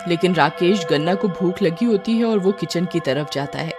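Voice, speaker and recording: पीछे संगीत के साथ महिला कथावाचक. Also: female voice, lowish voice, normal speed. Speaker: narrator. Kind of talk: scripted cartoon story narration. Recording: music behind the voice.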